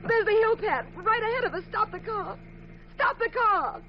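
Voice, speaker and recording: Anxious, high-voiced radio mystery wife. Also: female voice, normal speed. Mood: panicked pleading. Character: anxious wife of detective, pleading, young-sounding. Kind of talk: 1950s radio mystery acting. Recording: muffled, noisy 1950s radio recording.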